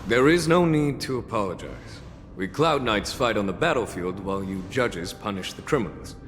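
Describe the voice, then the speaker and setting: An actor playing a composed, dutiful army general in a fantasy video game, speaking formally and with command. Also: male voice, on the low side, expressive, normal speed, a bit bright, a little hiss or hum. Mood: assured, courteous. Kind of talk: dramatic video game voice acting. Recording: long echoing hall reverb, music behind.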